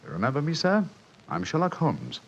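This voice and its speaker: An actor playing a shrewd, self-assured gentleman detective in a 1940s radio drama, brisk, precise and theatrical, with dry amusement. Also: on the high side, huge pitch swings, vocal fry, slight background noise, heavily acted. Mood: coolly menacing. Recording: muffled restored 1940s radio recording.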